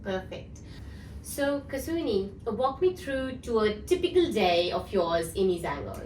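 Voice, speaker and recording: A woman's voice: mid-range voice, sing-song, a little slow, a little mellow, a little hiss or hum. A woman hosting a casual company interview, encouraging her guest with frequent "yeah". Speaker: host, company CEO. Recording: echoey room, mic at a distance.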